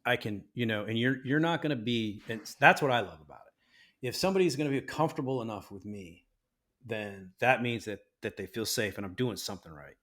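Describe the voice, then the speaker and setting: A man's voice: lowish voice, animated, a little fast, fairly bright tone. A man co-hosting a casual podcast, swearing freely, laughing often and turning earnest when talking about friendship and trust. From Mexican-American. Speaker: podcast co-host. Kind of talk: casual chat.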